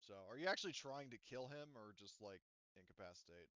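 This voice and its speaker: A man running a tabletop roleplaying game as game master, narrating casually and haltingly as he thinks aloud. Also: medium pitch, animated, sharp tone, dry recording, highs cut off.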